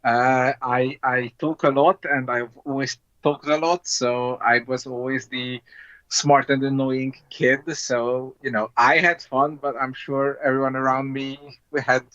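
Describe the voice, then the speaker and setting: A man's voice: medium pitch, little pitch movement, unhurried, fairly bright tone, dry recording. A man in his thirties from Hungary with a Hungarian accent, chatting casually as an interview guest. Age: 30s. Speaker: guest, board game designer. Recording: dull, lo-fi online call audio.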